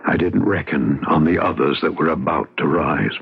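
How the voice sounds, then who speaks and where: A man's voice: extremely low pitch, breathy edge, exaggerated voice. An actor playing a haunted, obsessive man in a radio drama, earnest and dramatic. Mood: brooding narration. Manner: earnest, dramatic, halting when pressed. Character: haunted, agitated, obsessive man drawn to mysteries. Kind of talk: radio drama acting with first-person narration. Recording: narrow-band, noisy old radio recording.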